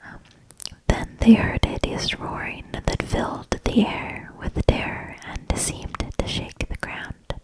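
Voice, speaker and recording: A woman reading a fairy tale in a soft, gentle ASMR whisper, breathy and calming. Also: rough recording. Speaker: ASMR reader.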